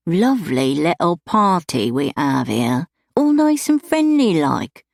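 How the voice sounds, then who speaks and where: A woman's voice: lowish voice, sing-song, slow, vocal fry, no echo, clean studio sound, full character voice. Woman putting on an oily, mock-friendly villain. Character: menacing, falsely pleasant male lowlife villain. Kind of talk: audiobook character dialogue.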